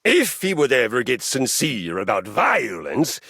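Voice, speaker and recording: A man voicing a boastful, violence-obsessed male warrior who is a living handrail in a dating game, talking in a grandiose, theatrical way that swings from booming shouts to hushed menace. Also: wildly swooping pitch, unhurried, husky, no echo, clean studio sound, exaggerated voice. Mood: bombastic. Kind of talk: video game voice acting.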